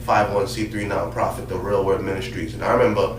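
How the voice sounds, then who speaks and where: A low-pitched man, born in Haiti, talks easily through his life story with plenty of "you know" and "um". He sounds set back from the mic in a roomy space. A faint noise sits under his voice. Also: fast talker, far from the mic. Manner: relaxed storytelling with many fillers. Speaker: podcast host and pastor. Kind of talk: casual chat.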